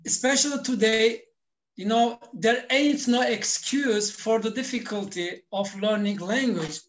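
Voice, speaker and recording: A male language teacher answers as an interview guest in non-native English. He speaks very slowly and deliberately, explaining his views step by step. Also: high voice.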